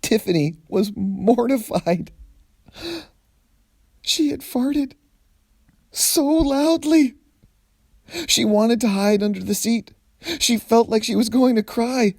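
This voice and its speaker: A man reading a humorous story aloud in an expressive, theatrical way with deliberate phrasing. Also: extremely high pitch, gravelly, no echo, clean studio sound.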